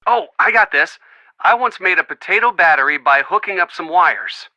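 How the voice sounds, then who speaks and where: Young male game character: earnest, chatty, reassuring, very bright tone, slightly high, dry recording. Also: animated, normal speed, no room sound, exaggerated voice, low-quality recording. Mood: modest, helpful. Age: young adult. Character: friendly young man, earnest, bookish, supportive boyfriend type. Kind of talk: video game voice acting, phone conversation.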